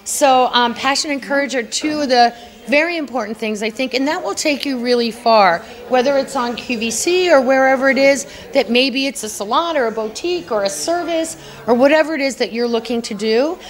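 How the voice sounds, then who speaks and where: Chatty woman with a high, bright voice. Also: a little fast. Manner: earnest, chatty, laughs often. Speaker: award honoree, beauty entrepreneur. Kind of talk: red carpet interview. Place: indoor gala press wall. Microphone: handheld mic held by interviewer.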